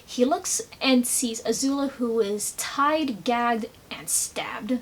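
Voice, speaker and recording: A woman with a high voice talks casually through a fan recap. She is animated and drops sarcastic asides as she goes, like a commentator chatting to viewers. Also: takes it slowly. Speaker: video commentator.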